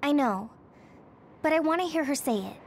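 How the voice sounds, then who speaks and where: A woman's voice: high-pitched, animated, normal speed. A woman voicing a girlish, protective young female soldier in an anime-style game. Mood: suspicious.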